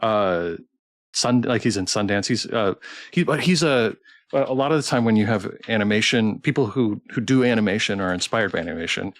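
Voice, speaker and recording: A man with a fairly low voice hosts a casual interview on a stream. He speaks enthusiastically but haltingly, often cutting into his own sentences. The sound is close and dry, with little room around it. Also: lively intonation, no room sound. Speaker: streamer hosting a developer interview.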